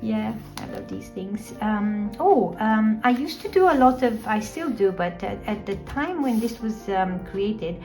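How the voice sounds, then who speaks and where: A woman whose first language is Romanian talks through her art journal at an unhurried, lingering pace. Her speech is soft and hesitant, full of ums and restarts as she reflects on each page, at an ordinary middle pitch. Faint instrumental music plays behind her. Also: lively intonation. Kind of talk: casual journal flip-through narration.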